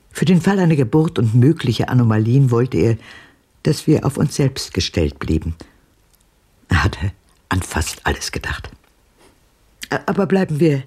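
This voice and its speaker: An older actress playing a haunted, commanding old woman who recounts a grim past haltingly in a German radio drama, her voice deep and husky. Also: mellow, heavily acted.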